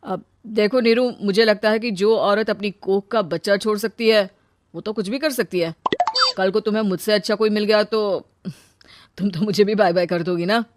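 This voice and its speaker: A woman voicing a smooth-talking, self-assured young man in a family story, direct and persuasive. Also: medium pitch, fairly even intonation, moderate pace, natural voice. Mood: irritated, wary.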